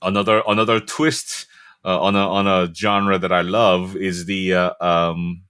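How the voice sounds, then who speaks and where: A low-pitched middle-aged man, born in Korea, speaks as a guest in a relaxed interview. He talks with animated asides, restarts himself and chuckles often. The sound is close and dry, with little room around it. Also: measured pace, smooth, no echo. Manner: animated, chuckling, self-correcting. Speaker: voice actor guest. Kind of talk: casual interview chat.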